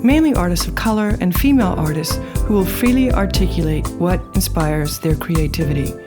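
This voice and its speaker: A woman with a fairly low voice hosts an art podcast and speaks in a measured, formal way. Music plays clearly under her words. She is recording in an apartment. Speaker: podcast host. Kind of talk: scripted introduction and interview questions. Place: Manhattan apartment.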